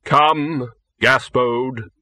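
A man voicing Death, a grave, skeletal male reaper who speaks slowly, flatly and solemnly. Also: mid-range voice, dry recording. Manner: slow, flat and solemn.

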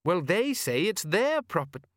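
A man acts out a young fisherman's son in a comic storybook, his voice lifted fairly high. He is reasonable but a little cheeky, questioning in a plaintive way. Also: sing-song, normal speed, a bit bright, no room sound, clean studio sound.

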